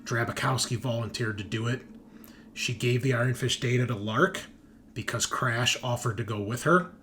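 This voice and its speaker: A man's voice: mid-range voice, moderate pace, a bit bright. A man running a tabletop game as game master, narrating the story in a casual but dramatic way. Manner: casual recapping with dramatic read-out passages. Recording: music behind the voice.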